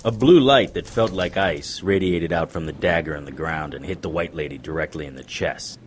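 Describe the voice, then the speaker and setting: A cool, wry male occult detective tells his tale in noir audio drama style. The voice sits low and dry, with faint noise behind it. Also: moderate pace. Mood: grim.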